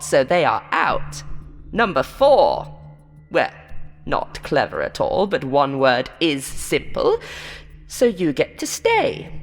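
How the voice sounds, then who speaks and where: A woman's voice: deep voice, in a large hall, exaggerated voice. A woman voicing a sinister, inhuman game show host in a horror story, taunting and condescending with theatrical showman patter. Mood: smug, taunting. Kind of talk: horror audio story character voice.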